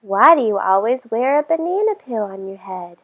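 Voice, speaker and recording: A woman's voice: fairly high pitch, sing-song, normal speed, dull audio. A woman voicing a friendly, frank young boy in a children's storybook. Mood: curious.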